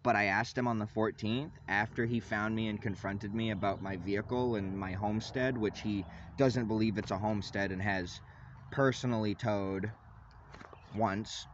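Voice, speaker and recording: Young man, agitated rambling rant, lowish voice, dull lo-fi phone sound with faint hiss. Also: fairly even intonation, normal speed. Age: young adult. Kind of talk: ranting selfie vlog. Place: inside a parked vehicle. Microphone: phone at arm's length.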